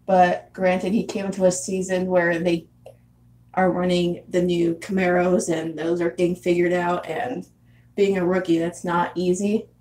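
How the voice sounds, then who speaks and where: A woman in her twenties from San Diego, chatting casually with viewers as a vlogger, laughing often and filling pauses with "um" and "like". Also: on the low side, little pitch movement, mellow. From San Diego, California. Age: 20s. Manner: chatty, frequent laughs and fillers. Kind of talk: casual live chat reading comments. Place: house in Arizona.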